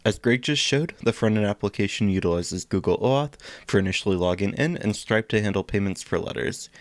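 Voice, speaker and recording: A man delivers a scripted technical presentation. His voice is fairly low, recorded close and dry with no room sound. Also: animated, fairly quick, dry recording. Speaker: project presenter.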